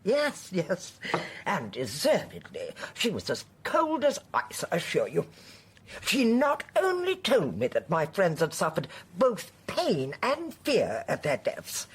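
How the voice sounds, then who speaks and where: A genteel elderly murderess in a radio play, her lowish voice very husky and breathy. She swoops grandly through her phrases, imperious and chatty, politely menacing and wholly unrepentant. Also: huge pitch swings, slow pace, full character voice. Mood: coolly boastful. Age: older. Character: genteel, haughty elderly female poisoner, chillingly unrepentant. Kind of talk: radio drama acting.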